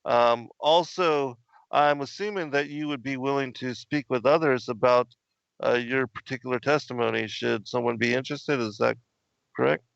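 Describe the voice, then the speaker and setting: A man hosting a casual interview, asking long, relaxed questions full of fillers. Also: medium pitch, normal speed, gravelly, dry recording, highs cut off. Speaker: host.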